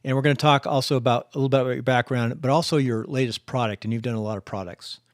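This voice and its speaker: A male host leads the interview at a brisk clip. His voice sits in a middle range, and he talks casually and cuts in often with questions. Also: mid-range voice, fairly even intonation, no echo, clean studio sound. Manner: quick, chatty, interjecting. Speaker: podcast host.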